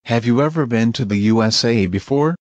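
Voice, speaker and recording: A man reads short English travel phrases in a measured, plain voice, one at a time for learners. His pitch is ordinary for a man. The audio is dry and a little dull, as if the highs were trimmed. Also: dry recording. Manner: measured, plain, each phrase read alone. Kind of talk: slow clear phrase reading for learners.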